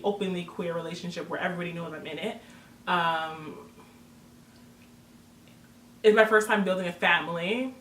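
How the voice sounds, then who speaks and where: A woman's voice: lowish voice, fairly bright tone. A woman raised in Toronto talks earnestly to the camera, laying out her thoughts and calling the audience "y'all." Her voice is caught from a distance in a roomy space, with a faint hiss behind it. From born in Jamaica, raised in Toronto, Canada. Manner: earnest and explanatory, addresses viewers as "y'all". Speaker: vlogger. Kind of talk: casual couple's chat to camera.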